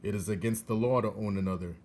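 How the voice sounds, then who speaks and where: A man voicing a dutiful soldier of the king who speaks in a loud, formal, measured tone. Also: on the low side, a little hiss or hum, lightly acted. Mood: official.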